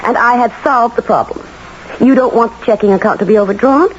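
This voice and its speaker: An actress playing a breezy, teasing, spendthrift society wife in a 1970s radio drama, coquettish and unbothered. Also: female voice, on the high side, a little fast, close to an everyday voice. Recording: dull vintage radio, faint hiss.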